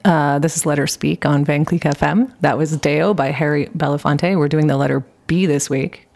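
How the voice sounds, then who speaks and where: A woman with a deep voice co-hosting a radio show, chatting casually and laughing often. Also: steady tone, normal speed, vocal fry, a little mellow. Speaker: radio co-host. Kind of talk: casual on-air chat.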